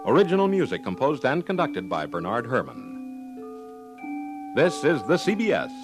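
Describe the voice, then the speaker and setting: A man's voice: medium pitch, huge pitch swings, moderate pace, music in the background. A man reading credits as a formal 1950s radio announcer.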